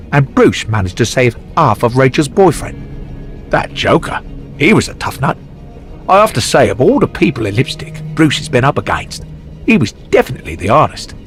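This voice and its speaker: A man's voice: mid-range voice, close to an everyday voice. Guy playing an old british butler telling stories in a cartoon game, dry wit, fussy, voice moves up and down a lot. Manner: wry, understated. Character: elderly, fussy, dryly witty loyal butler. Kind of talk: storytelling narration in game cutscenes.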